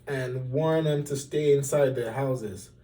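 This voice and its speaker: A man's voice: mid-range voice, takes it slowly, dark tone, recorded from a distance, natural voice, low-quality recording. A man voicing an earnest, worried young errand boy in a storybook, urgent and calling out.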